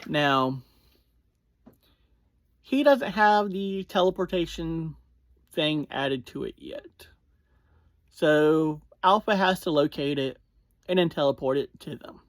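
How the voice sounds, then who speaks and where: A reviewer with a mid-range voice talks through a recap in a casual, informal way. The pace is slow, broken into short phrases with self-corrections. Listeners are addressed directly, and the sound is dry with no room echo. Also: mid-range voice, animated. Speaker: podcast reviewer.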